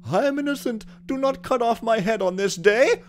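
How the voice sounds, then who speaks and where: A terrified condemned prisoner , put on by a man reading a story aloud, begs to be spared. His voice jumps well above the reader's own into a shrill, panicky plea. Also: moderate pace. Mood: panicked.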